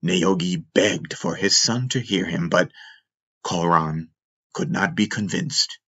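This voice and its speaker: Deep-voiced man narrating solemn fantasy, slowly. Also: fairly bright tone. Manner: solemn, deliberate storytelling with dramatic emphasis. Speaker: audiobook narrator. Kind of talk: scripted fantasy audiobook narration.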